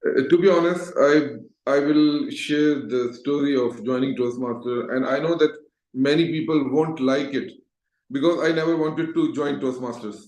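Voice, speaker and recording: A man's voice: mid-range voice, normal speed, a little mellow. A middle-aged man with a Pakistani accent, telling his own story conversationally as an interview guest. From Lahore, Pakistan. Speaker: interview guest, Toastmaster educator. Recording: echoey, roomy voice sound.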